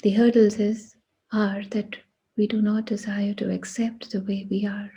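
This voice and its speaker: A woman leads a guided meditation at a very slow pace, leaving long pauses between short phrases. Her voice is very husky and breathy, with a soft, mellow tone. The delivery is hushed and deliberate. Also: medium pitch, level tone.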